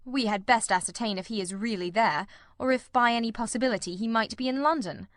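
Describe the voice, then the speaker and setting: A woman voicing a shrewd, composed gentleman detective in a storybook, formal, measured and authoritative. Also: a little high, no echo, studio quality, close to an everyday voice. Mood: brisk, commanding.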